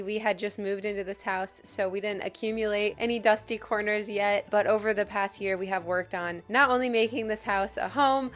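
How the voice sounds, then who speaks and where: Chatty woman with a slightly hoarse voice. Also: mid-range voice. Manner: chatty and enthusiastic, with little laughs. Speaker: pet and spider keeper giving a room tour. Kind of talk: casual home tour vlog.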